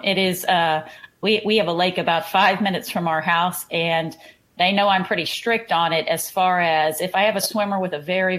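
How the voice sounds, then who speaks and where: A woman's voice: lowish voice, a bit bright. A woman with a Texan accent, a triathlon coach chatting in a podcast interview and often repeating words as she starts a thought. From Houston, Texas.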